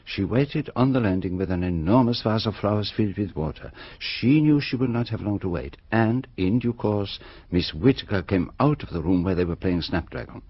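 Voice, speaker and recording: An actor playing a self-assured Belgian detective with a French-sounding accent in a radio drama, methodically explaining a crime. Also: male voice, on the low side, lively intonation, normal speed, few pauses, slightly rough. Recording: dull, roomless radio drama recording.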